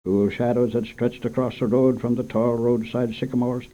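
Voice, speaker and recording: A man reading a children's short story aloud for radio in a measured, gentle storytelling manner. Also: medium pitch, flat delivery. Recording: dull lo-fi old radio recording.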